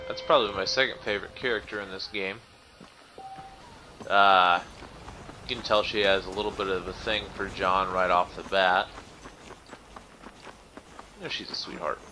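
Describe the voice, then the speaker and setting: Low-pitched man giving casual game commentary. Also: steady tone, normal speed, long pauses, pushed and bright. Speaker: let's play host. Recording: clear game noise behind voice.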